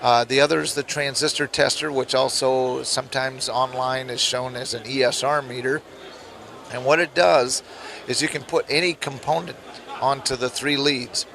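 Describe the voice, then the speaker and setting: A man with a rough throat, explaining things casually as an interview guest. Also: mid-range voice, steady tone, normal speed, a bit bright, a little hiss or hum. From Nebraska, United States. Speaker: interview guest, electronics kit hobbyist.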